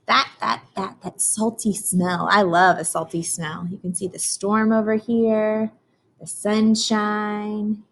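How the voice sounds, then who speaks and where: An American woman reads a picture book aloud for young children in a medium-pitched voice. She sounds like a teacher, instructional and simple in her wording, turning to her listeners with prompts and questions. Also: little pitch movement, takes it slowly, gravelly.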